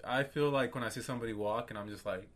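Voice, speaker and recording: A man co-hosting a podcast in casual chat, easygoing and thinking out loud. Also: medium pitch, fast. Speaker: podcast co-host.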